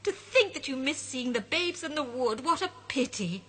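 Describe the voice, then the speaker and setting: A caring mother bear from a picture book, fussing kindly in a woman's own reading voice. The tone is bright and natural. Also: high-pitched, expressive, normal speed, voice pushed up. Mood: relieved.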